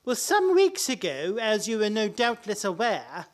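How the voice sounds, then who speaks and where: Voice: mid-range voice, wildly swooping pitch, unhurried. An actor in a comic radio play playing a genial, timid, henpecked older father-in-law, hesitant and a little fussy, with old-fashioned politeness. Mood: confiding. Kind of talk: stage-comedy radio play acting.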